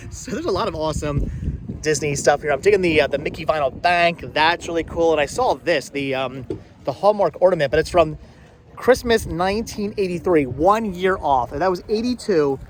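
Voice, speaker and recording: A man's voice: fairly high pitch, animated, normal speed. A man in his forties chatting casually for a vlog at an outdoor flea market, stammering and repeating his words as he jokes with companions. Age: 40s. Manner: jokey banter with companions. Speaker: vlog host. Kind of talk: casual chat, vlog commentary while shopping. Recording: noisy outdoor ambience.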